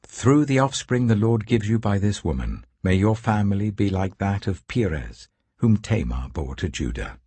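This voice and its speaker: Very husky, solemn elders blessing, read by a man. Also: lowish voice, very animated, normal speed, dark tone, dry recording. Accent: British.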